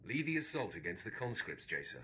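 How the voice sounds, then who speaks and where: Casting: ruthless Sith master, low-pitched, very forceful, commanding, game dialogue. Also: male voice, big pitch swings, a little fast, a little hiss or hum, heavily acted. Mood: cold, commanding. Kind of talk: video game voice acting.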